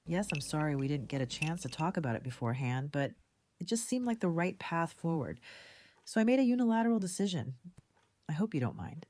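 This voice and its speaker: A woman playing a composed, decisive community leader in an audio drama, speaking in a measured, diplomatic way. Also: low-pitched, sing-song, a little mellow. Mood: gracious, composed. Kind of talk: fan audio drama dialogue.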